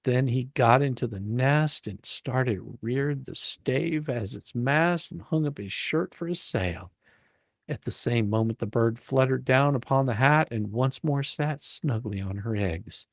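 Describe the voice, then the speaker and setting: A man narrating a story as a dramatic reading, with a playful, expressive, theatrical manner. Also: medium pitch, a little slow, no room sound, clean studio sound. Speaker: reader and host of a live dramatic reading.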